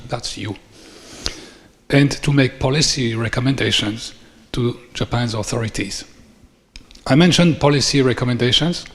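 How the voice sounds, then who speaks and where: Belgian-accented man in a reverberant hall. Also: mid-range voice. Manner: measured, formal delivery with listed points. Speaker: conference speaker. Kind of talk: formal closing speech. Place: large conference hall. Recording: long reverb of a conference hall.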